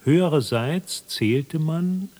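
A man narrating a literary radio drama in a measured, formal, dryly ironic manner, with a slightly husky voice. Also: on the high side, animated, dark tone. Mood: detached, wry. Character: detached, wry literary narrator of an absurd tale.

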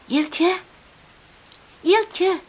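A woman's voice: extremely high pitch, drawn-out words, darkish tone, exaggerated voice. An actress playing an eager, affectionate young woman recovering in bed, earnest and coaxing, in a hissy old radio drama. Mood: earnest, confiding.

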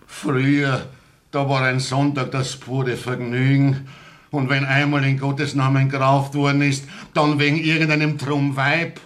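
A man's voice: mid-range voice, little pitch movement, measured pace, close to an everyday voice. A man playing a grumbling Bavarian innkeeper in a German radio play, mock-formal and smugly courteous. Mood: aggrieved.

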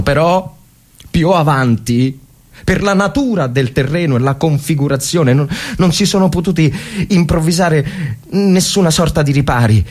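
An actor playing a tormented, fervent Italian army officer in the trenches of the First World War, in a theatrical dramatic reading with halting repetitions. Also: male voice, on the low side. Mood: tense briefing. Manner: theatrical, halting, repeating phrases, swinging from hushed to frenzied. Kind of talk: dramatic literary reading as monologue.

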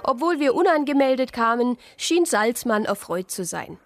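A woman's voice: fairly high pitch, sing-song, moderate pace, dry recording, faint music behind. An actress playing a spirited deaconess who tells her own story with wry understatement in a radio play. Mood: wry narrating. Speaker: narrator and lead character. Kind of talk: radio play narration and dialogue.

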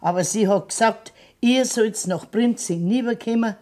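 A woman's voice: fairly high pitch, normal speed, dark tone, natural voice. An actress playing an anxious, practical grandmother on a farm, with a Bavarian accent, plain-spoken and pleading in a radio play. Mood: matter-of-fact. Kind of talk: 1970s German radio play acting.

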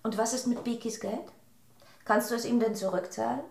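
Uneasy wife, high-set roomy voice. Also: female voice, steady tone, fairly quick, creaky, darkish tone. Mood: puzzled, probing. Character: concerned, questioning wife, polite and uneasy. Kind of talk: German radio drama acting. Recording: roomy sound under prominent piano music.

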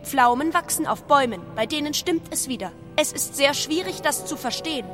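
A woman's voice: high voice, lively intonation, a little fast, music in the background. A woman playing a naive, literal-minded young artificial intelligence in a radio drama, with a childlike manner. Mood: earnest, puzzled. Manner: childlike, speaks of herself in third person. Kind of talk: German radio drama acting.

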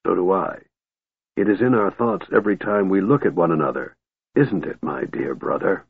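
A man voicing a frail, cold male mage in a storybook, with a soft, shattered whisper and calm, deliberate menace. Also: low-pitched, creaky, husky, phone-quality sound, exaggerated voice. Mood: softly threatening.

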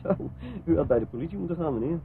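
A man's voice: on the high side, expressive, very fast, gravelly. An actor playing a shifty, cheeky petty crook in a radio drama, wheedling and wisecracking as he protests his innocence. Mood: defensive, sly. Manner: wheedling, evasive, wisecracking, stammering self-justification. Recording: muffled phone-like old radio play, noisy.

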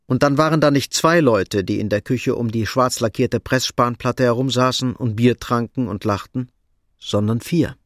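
A man narrating a radio drama in a measured, understated, literary style. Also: medium pitch, dry recording, clean studio sound.

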